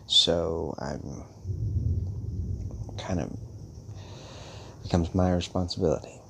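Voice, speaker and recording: Man acting as fatherly old male vampire, gravelly, unhurried, calm, hesitant musing, intimate audio roleplay, some background noise. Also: sits low, exaggerated voice. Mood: measured, earnest. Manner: hesitant, musing, speaks in pauses.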